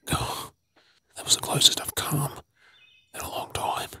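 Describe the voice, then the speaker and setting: An Australian man playing a gruff but caring zombie apocalypse survivor in a breathy, often whispered ASMR role-play. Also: measured pace, sharp tone. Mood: hushed, tense.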